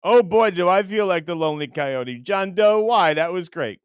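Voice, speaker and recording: A man's voice: sits high, animated, moderate pace, clean tone, no echo, studio quality. A man hosting a livestream in casual chat, reading out comments and rambling in a self-deprecating way. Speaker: livestream host.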